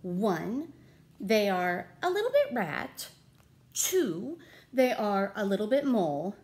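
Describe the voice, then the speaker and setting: A woman in her thirties reading a picture book aloud to children, with deliberate theatrical emphasis and questions to her listeners. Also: medium pitch, sing-song, darkish tone. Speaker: read-aloud storyteller. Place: small room at home.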